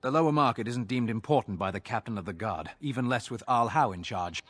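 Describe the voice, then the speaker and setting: An actor playing an exasperated, sarcastic city guard officer in a fantasy video game, grumbling gruffly in a husky voice. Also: male voice, mid-range voice, fairly quick, fairly bright tone, lightly acted.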